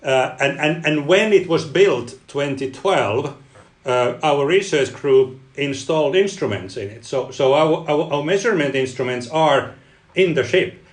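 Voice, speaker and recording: A man whose first language is Finnish, a guest researcher answering interview questions in a halting way, often repeating words. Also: a little high, lively intonation, echoey room. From Finland. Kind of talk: podcast interview.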